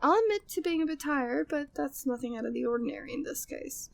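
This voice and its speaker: A woman's voice: high voice, lively intonation, fairly quick, creaky. A woman playing a flirty, devoted, gossipy maid at a manor in an ASMR audio drama, speaking affectionately and teasingly in formal period wording. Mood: curious, playful.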